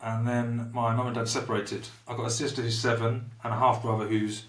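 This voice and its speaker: A man in his thirties with a Portsmouth accent speaks candidly as a podcast guest, circling back on his words as he thinks. His voice sits at a middling pitch and carries from a few feet off the mic, in a room with noticeable echo. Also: little pitch movement, brisk. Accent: Portsmouth English. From England. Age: 30s. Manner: open and reflective, with frequent restarts. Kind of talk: candid personal conversation. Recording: roomy, somewhat distant mic.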